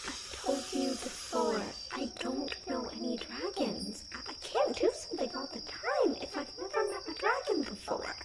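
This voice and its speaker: Woman playing a high-voiced feisty tree nymph. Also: sing-song, moderate pace, hardly any pauses, heavy background noise. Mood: defiant denial. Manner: theatrical, emphatic, playfully defiant. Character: feisty, forgetful young female tree nymph, stubborn and playful. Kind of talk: ASMR fantasy roleplay. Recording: loud chirping forest ambience over voice.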